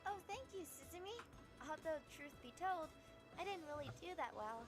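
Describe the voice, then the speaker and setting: Very high, sweet young woman. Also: animated, normal speed. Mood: modest. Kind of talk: visual novel voice acting. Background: game music.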